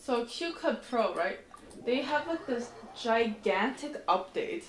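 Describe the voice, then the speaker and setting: A woman's voice: on the high side, expressive, measured pace, roomy sound, a little hiss or hum. A woman livestreamer chatting casually while playing a game, rambling in a slangy, informal way. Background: faint game music.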